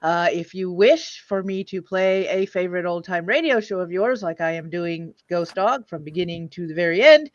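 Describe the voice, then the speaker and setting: A woman's voice: lowish voice, animated, moderate pace, few pauses, dry recording, highs cut off. A woman hosting an online old-time radio stream, chatting casually and jokingly with her audience and panel. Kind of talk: casual livestream chat.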